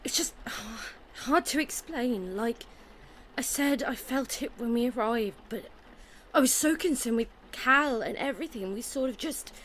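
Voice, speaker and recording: Brief: actress as a worried mother, high slow voice, hushed and pleading. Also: lively intonation, slight background noise, low-quality recording. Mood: anxious. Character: worried young mother, uneasy. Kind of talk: audio drama acting.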